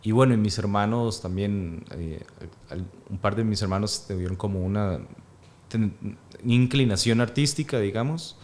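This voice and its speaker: A man in his thirties with a Costa Rican accent answers interview questions in a slightly low voice. He thinks aloud as he talks, pausing often and reaching for his words. His tone stays calm and reflective. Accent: Costa Rican Spanish. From Peru. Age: 30s. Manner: thoughtful, hesitant, many fillers. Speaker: actor and guest. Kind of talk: casual interview.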